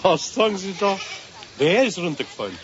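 Scolding zoo keeper: high, very animated voice, suspicious, for an old radio play. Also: male voice, fairly quick. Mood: indignant. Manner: scolding, demanding. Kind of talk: 1970s German children's radio play acting. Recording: muffled old 1970s radio recording, noisy animal ambience.

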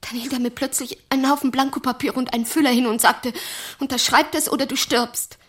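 Husky, wounded young-sounding woman confiding. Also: high voice, fairly even intonation, fairly bright tone. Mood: numb, shaken. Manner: halting, intimate, often dropping into breathy whisper. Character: traumatized, bitter assault victim confiding in her old friend. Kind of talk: German audio drama acting.

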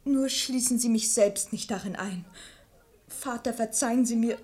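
A woman's voice: a little high, normal speed, mellow, lightly acted. A woman playing an earnest, dutiful young daughter who pleads formally and emotionally in a verse radio play. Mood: tearful resignation.

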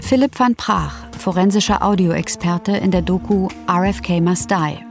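A woman's voice: on the low side, normal speed, gravelly, faint music behind. A woman announcer reading a scripted source credit in a radio production.

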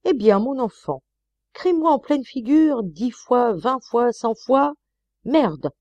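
A woman's voice: fairly high pitch, expressive, takes it slowly, darkish tone, dry recording, voice pushed up. A woman playing a peevish, pleading snob of a husband. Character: peevish snobbish middle-aged husband. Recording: slightly muffled dry recording.

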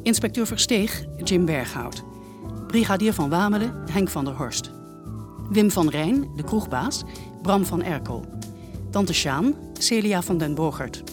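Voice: medium pitch, lively intonation, unhurried. An announcer reading scripted closing credits for a radio play. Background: music.

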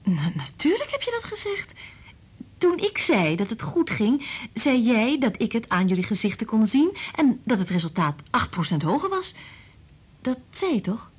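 A woman playing a troubled, evasive married woman in a Dutch radio drama, hesitant and defensive, with a husky voice. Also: a little high, huge pitch swings, normal speed, full character voice. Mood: friendly, slightly flustered.

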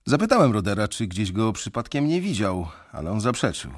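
A husky, low-voiced junior analyst. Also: male voice, sing-song. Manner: measured, reflective storytelling. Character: disillusioned male junior bank analyst. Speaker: first-person narrator. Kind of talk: scripted radio drama narration.

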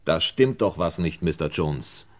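An actor playing a stern, probing police inspector in a radio crime play, curt and sardonic. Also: male voice, rumbling low, expressive, measured pace. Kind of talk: German radio crime play acting, 1950s to 80s.

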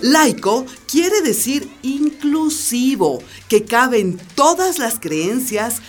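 An actress playing an assertive, sharp-witted school principal, firm, sardonic and articulate in a radio drama. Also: female voice, sits high, expressive, slow, soft background music, exaggerated voice.

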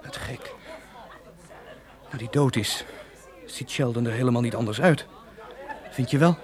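An actor playing an excitable, opportunistic male television director in a radio play, frantic and prone to stammering. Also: medium pitch, unhurried, some chatter behind, natural voice. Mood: rueful, dazed. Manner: frantic, stammering, self-questioning. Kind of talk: Dutch radio play acting.

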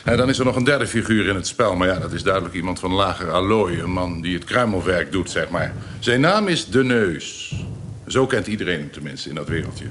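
Self-righteous accuser for radio drama, formal and insistent, deepish voice with lively swings. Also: male voice, a little fast, a little hiss or hum. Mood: accusing. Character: vindictive, self-righteous male informer denouncing criminals.

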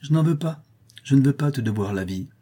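A man voicing a defiant young male scholar who speaks in a declamatory, accusing way with a husky voice. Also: medium pitch, lively intonation, a little fast, dark tone, pitched above the performer's own voice.

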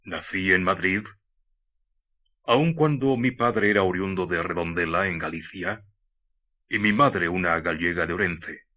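A man acts out a mysterious hermit for a radio play, with an accent from Spain. The voice is low and forceful, with a husky grain. He speaks very slowly, in solemn, archaic and courteous phrases. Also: heavily acted. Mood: solemn, enigmatic. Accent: Spanish from Spain. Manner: archaic, formal, solemn speech. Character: mysterious courteous old-world Spanish hermit in a cave. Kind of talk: radio drama acting.

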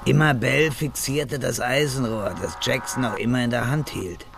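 A man narrating a German radio crime drama in a gravelly, storytelling voice. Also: medium pitch, steady tone, normal speed, noisy background.

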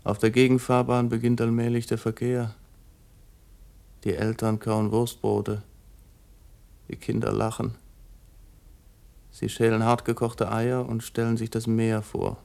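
An actor playing a detached, deadpan narrator of motorway observations in an experimental radio play. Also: male voice, medium pitch, measured pace, gravelly, pauses often.

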